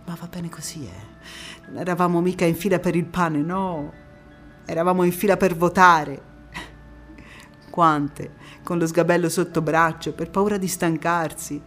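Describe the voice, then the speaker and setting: An actress playing a passionate, resolute woman activist in an emphatic theatrical monologue with dramatic pauses. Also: low voice, close to an everyday voice. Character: passionate, resolute woman activist recounting postwar struggle for women's vote.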